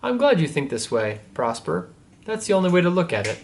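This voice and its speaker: Young Roman deacon male storyteller: friendly, gentle, higher-set voice for a storybook, light noise on the recording. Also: sing-song, brisk, creaky, exaggerated voice. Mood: friendly, caring.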